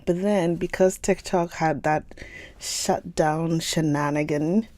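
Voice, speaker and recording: A woman's voice: deep voice, steady tone, slow, a little mellow, no echo. A young woman from Ghana hosting a livestream book reading, chatting casually and laughing often as she greets viewers by name. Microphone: phone or computer stream mic.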